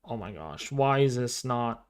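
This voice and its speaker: A man in his twenties hosting a casual livestream, talking through an astronomy program with excitable exclamations as he thinks aloud. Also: medium pitch, measured pace. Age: 20s. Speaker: livestream host.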